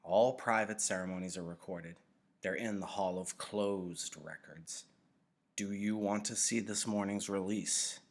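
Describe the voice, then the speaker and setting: A solemn old mentor acted by a man reading aloud in a storybook. His voice is low, and he speaks in a grave, patient, measured way that commands attention. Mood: grave, instructive.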